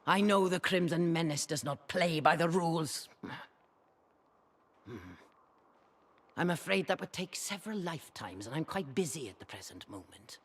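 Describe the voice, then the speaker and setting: A woman playing a centuries-old reclusive sorceress crone in a video game, raspy and husky, theatrical and deliberate, haughty and brooding. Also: low voice. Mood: sly, mocking. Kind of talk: dramatic video game acting. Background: background music.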